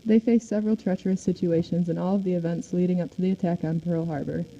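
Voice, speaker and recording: A woman's voice: fairly low pitch, steady tone, quick pace, dark tone, natural voice. A woman playing a male 1940s singer and film star in a radio interview, reading out the answers in a recited way. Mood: composed, recited. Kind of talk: amateur scripted radio show. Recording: dull recording with faint noise.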